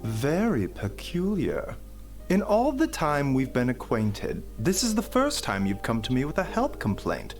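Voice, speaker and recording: A man voicing a gentle, thoughtful physician in a video game, measured and articulate, with music behind him. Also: medium pitch, huge pitch swings. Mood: pensive, examining. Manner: measured, articulate, drawn-out musing.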